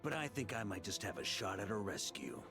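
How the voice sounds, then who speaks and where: An actor playing a weary but defiant veteran knight in a video game, with a wry, dramatic delivery. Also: male voice, fairly low pitch, big pitch swings, a little fast, loud music behind the voice. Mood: wry, composed.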